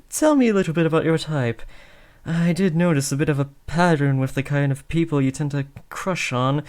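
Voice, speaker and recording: A man's voice: on the high side, clear, resonant tone, lightly acted. A young man playing an awkward, lovestruck teenage would-be supervillain in a comedic audio roleplay, switching between theatrical menace and hesitant rambling. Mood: awkward, unsure. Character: awkward lovestruck teenage boy, aspiring supervillain, eager, insecure. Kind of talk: comedic roleplay audio drama addressed to the listener.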